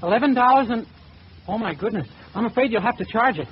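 An actor playing a naive, polite, silly boyish young suitor in a 1940s radio comedy, speaking meekly with guileless deadpan. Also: male voice, extremely high pitch, quick pace, slightly rough, full character voice. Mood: polite, flustered. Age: young adult. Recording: muffled old radio, heavy hiss.

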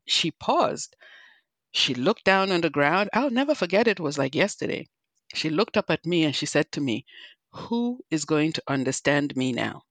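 Nigerian-accented woman with a low, dry-recorded voice. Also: a bit bright, no echo. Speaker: guest, physician and health executive. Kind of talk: interview storytelling.

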